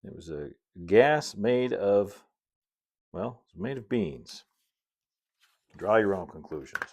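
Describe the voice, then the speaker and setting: Very deep-voiced, easygoing middle-aged man. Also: lively intonation, slow pace, a little mellow. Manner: easygoing, folksy, chatty asides. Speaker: model railroad builder and video host. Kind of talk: casual hobby tutorial narration.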